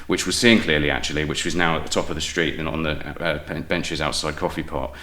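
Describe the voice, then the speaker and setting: British male, deep and bright, animated guest storyteller with halting, self-interrupting delivery. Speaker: guest playwright and audio drama maker. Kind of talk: casual enthusiastic interview storytelling.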